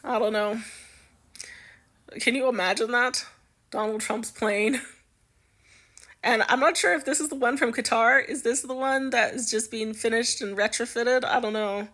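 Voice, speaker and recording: A woman's voice: on the high side, fairly even intonation, moderate pace, long pauses, a bit bright. A woman giving casual, chatty news commentary in an amused, conversational way, as if musing aloud.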